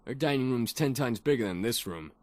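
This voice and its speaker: A man voicing a brash, gruff, impatient young man in a voiced story game. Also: mid-range voice, animated, brisk, darkish tone, natural voice. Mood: gruff, casual.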